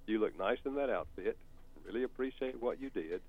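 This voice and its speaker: A man's voice: lowish voice, lively intonation, fairly quick. An older man with a Southern US accent, explaining things on a talk show in a folksy, storytelling way. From North Carolina. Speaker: author and counselor guest. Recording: tinny phone-like sound.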